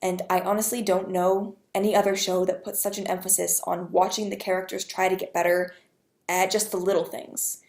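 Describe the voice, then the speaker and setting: Woman talking earnestly to camera, normal pitch, lots of room echo. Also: level tone, brisk, a little mellow. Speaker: podcast fan giving a testimonial. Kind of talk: earnest personal testimonial. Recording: echoey room.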